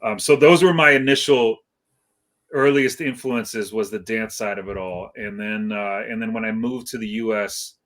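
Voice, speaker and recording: Low-voiced, reflective middle-aged man. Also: moderate pace, a bit bright. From Iceland, moved to California at seven. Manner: hesitant, reflective, rambling with slangy asides. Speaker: guest, hip hop DJ. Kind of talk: casual interview chat.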